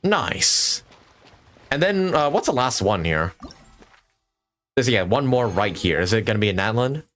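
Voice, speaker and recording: A man's voice: medium pitch, sing-song, measured pace, fairly bright tone, faint music behind. A young man giving casual live gameplay commentary, jokey and talking to himself as he plays. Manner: jokey, talks to himself while playing. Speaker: video game streamer.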